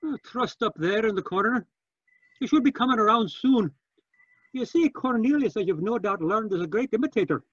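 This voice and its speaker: Man playing a high-voiced husky old Scotsman. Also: animated, moderate pace, fairly bright tone, no room sound, lo-fi sound, exaggerated voice. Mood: matter-of-fact, curious. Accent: Scottish. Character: genuine old Scotsman who loves life. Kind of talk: 1940s radio detective drama acting.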